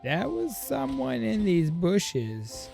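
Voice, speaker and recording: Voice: medium pitch, wildly swooping pitch, slow pace, creaky, mellow. A streamer giving casual, playful, rambling commentary while reacting to a game. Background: game music.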